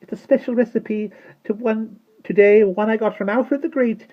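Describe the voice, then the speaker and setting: A reader voicing a tiny, ancient, bossy shopkeeper woman with a shrill, high little voice and a cackling manner, in a storybook reading. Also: normal speed, lo-fi sound. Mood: coaxing. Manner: cackling, swings from sweet to fierce.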